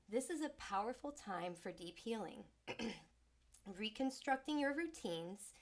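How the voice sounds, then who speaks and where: Female host, soothing and unhurried, mid-range voice, faintly stuffy from a cold. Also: medium pitch. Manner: soft, soothing, unhurried delivery. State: slightly congested. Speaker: host and sound healer. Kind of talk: livestreamed spiritual talk. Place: small home studio.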